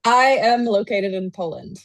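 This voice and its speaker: A woman in her thirties from Los Angeles with an American accent, chatting as an interview guest, animated and laughing often. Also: medium pitch, a little slow, dry recording. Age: 30s. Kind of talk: casual interview chat.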